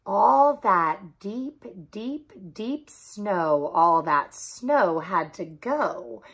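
Woman reading a picture book to kids, takes her time, bit husky. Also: mid-range voice, lively intonation, very slow, fairly bright tone. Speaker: children's story reader. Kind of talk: expressive read-aloud narration for children. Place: small furnished room indoors.

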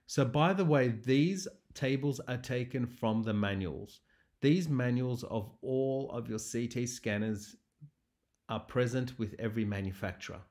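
A man's voice: medium pitch, darkish tone. A man lecturing over slides as a radiology lecturer, explaining things methodically. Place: voice-over recorded over presentation slides.

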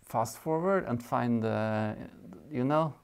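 A man's voice: mid-range voice, slow, creaky, clear, resonant tone. A middle-aged man with a Norwegian accent co-hosting a knitting channel, making joking asides at home to a table camera. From Norway. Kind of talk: casual chat to camera. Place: living room at home.

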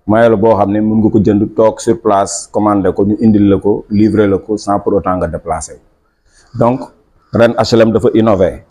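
Senegalese man, lowish mellow voice, steady sales presenter. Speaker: club marketing officer. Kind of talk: promotional product presentation. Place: small office indoors.

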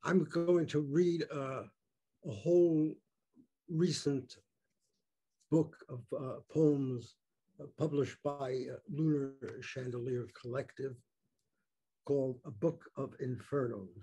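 Older man poet reading aloud: mellow, slightly husky, slow and deliberate, on a video call. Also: mid-range voice, steady tone. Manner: halting with fillers, then deliberate recitation. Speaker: poet reading his work. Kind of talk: poetry reading. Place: home room.

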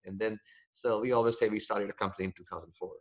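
A middle-aged man with an Indian accent speaks in a low voice, answering casually and hesitantly with frequent fillers and restarts. He is heard over a video call from a home office. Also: steady tone, quick pace, poor audio. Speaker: company founder, guest. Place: home office with bookshelves, window behind.

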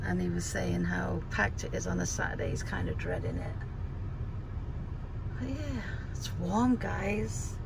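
A woman's voice: on the low side, unhurried, gravelly. A middle-aged woman chatting casually to viewers, recorded on a handheld phone inside a car. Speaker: vlogger. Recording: vehicle noise inside a car.

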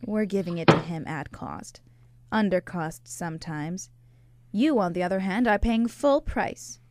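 A woman's voice: on the low side, unhurried, a little mellow, no room sound, lightly acted. A woman playing a plain-spoken, tart young American barmaid in radio drama dialogue. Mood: matter-of-fact.

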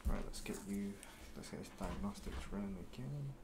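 Loud clicks and handling noise sit around a young man's very deep voice. He speaks softly with a creaky edge, murmuring unhurried asides as an ASMR repair mechanic. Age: 20s. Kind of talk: soft-spoken ASMR roleplay. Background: clicks, writing and tool sounds.

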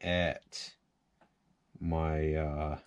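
A man's voice: rumbling low, level tone, extremely slow, noisy background. A man giving a casual hands-on repair tutorial, thinking aloud informally as he works at a workbench. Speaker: chainsaw repair demonstrator. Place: cluttered indoor workshop at a workbench.

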